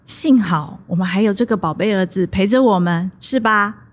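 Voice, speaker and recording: A woman's voice: medium pitch, normal speed, lightly acted. An actress with a Taiwanese Mandarin accent playing a doting, traditional older mother in a radio drama, coaxing and chatty.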